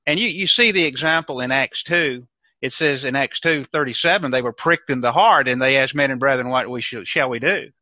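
A man's voice: mid-range voice, a little fast, pushed and bright, dry recording. An older man with a Southern US accent, speaking as a guest in an emphatic, preacherly way. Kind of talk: preaching-style discussion. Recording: dry, dull lo-fi sound.

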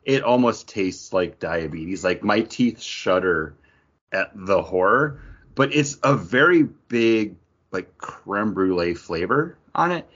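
A man with a lowish, very animated voice chats casually as a podcast co-host. His delivery is jokey and enthusiastic, like an informal tasting among friends. The recording is slightly dull and lo-fi. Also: slow.

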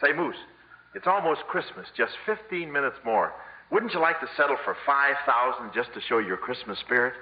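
A man acting a fast-talking small-time con man with joking patter in a 1950s radio comedy, on a muffled vintage broadcast. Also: medium pitch, animated, close to an everyday voice. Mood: glib, cheeky.

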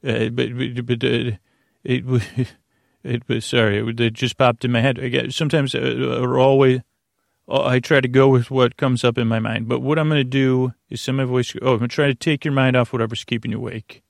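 A man's voice: mid-range voice, flat delivery, no echo, studio quality. A man hosting a bedtime podcast in a meandering monologue, stammering over words and losing his thread as he wanders off topic. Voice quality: gravelly, creaky. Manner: rambling, digressive, loses his thread; halting, stammering word repetitions. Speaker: podcast host. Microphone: close, dry podcast mic.

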